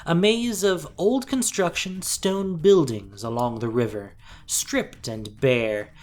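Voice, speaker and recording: A woman narrating fantasy fiction in a deliberate, theatrical and intense way. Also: deep voice, steady tone, a little mellow.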